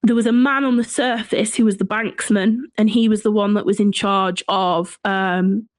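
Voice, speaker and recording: A woman's voice: mid-range voice, steady tone, normal speed, no echo. A woman with a Shropshire English accent, telling history conversationally as an interview guest. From Shropshire, England. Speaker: podcast guest, folklore and history writer.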